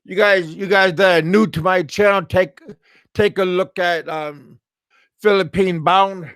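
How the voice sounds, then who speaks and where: Man, slightly high voice, halting live-stream chatter, stumbles and repeats words. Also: steady tone, fairly bright tone, clear, resonant tone, no echo, clean studio sound. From USA. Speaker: livestream host. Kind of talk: casual live-stream chat.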